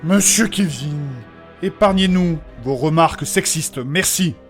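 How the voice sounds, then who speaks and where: A man's voice: a little high, measured pace, soft background music, natural voice. A man playing a proper, pious and learned adventurer in a comedic fantasy audio drama, formal, polite and easily shocked. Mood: prim, scandalised.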